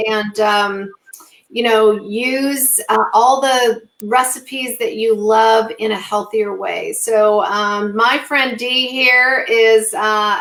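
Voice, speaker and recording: A woman co-hosting a live low-carb cooking show who is losing her voice, talking in a halting way with many fillers and throat-clearing. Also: a bit bright, echoey room, poor audio. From Southern California. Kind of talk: live-streamed casual chat.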